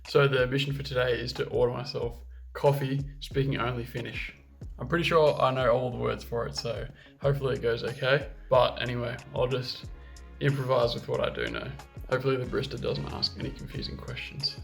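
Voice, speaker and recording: A man's voice: medium pitch, fairly quick, gravelly, over music. A man vlogging casually about learning a new language, upbeat and self-deprecating, talking straight to his viewers. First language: English. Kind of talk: casual vlog talk to camera.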